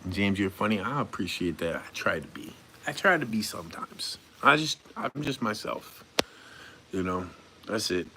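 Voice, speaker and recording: A man's voice: fairly low pitch, lively intonation, normal speed, bright, forceful tone, a little hiss or hum. Laid-back rambling man in his forties. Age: 40s. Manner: laid-back and rambling, reads comments aloud then answers; goofy, chuckles now and then. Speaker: live streamer reading viewer chat. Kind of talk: casual live-stream chat.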